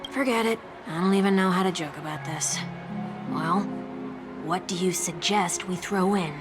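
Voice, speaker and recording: A woman's voice: on the low side, normal speed, close to an everyday voice. A young woman voicing a wry, sardonic adventurer in a video game, with a dry, teasing delivery. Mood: exasperated. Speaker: game character. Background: game background music.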